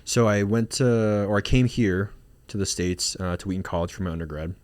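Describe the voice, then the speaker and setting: Low-voiced man, relaxed and rambling. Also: brisk, no echo. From Singapore. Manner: relaxed, rambling with many fillers and restarts. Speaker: marriage and family therapist guest. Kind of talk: casual interview conversation.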